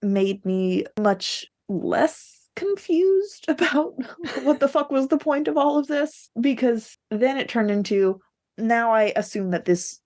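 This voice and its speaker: A woman's voice: mid-range voice, darkish tone. A woman co-hosting a podcast, casually discussing books in a candid, opinionated way with a readiness to laugh. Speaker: podcast co-host.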